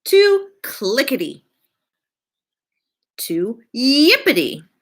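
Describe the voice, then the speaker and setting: A woman's voice: high voice, wildly swooping pitch, very slow, fairly bright tone, voice pushed up, heavily acted. A woman voicing a stubborn, grumpy first-grade child with an emphatic, complaining manner in a storybook reading. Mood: disgusted.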